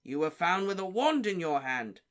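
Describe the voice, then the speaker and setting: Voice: mid-range voice, expressive, normal speed, pitched above the performer's own voice, close to an everyday voice. A reader voicing a stern, officious male wizard official who barks his questions. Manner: sternly barking, interrogating.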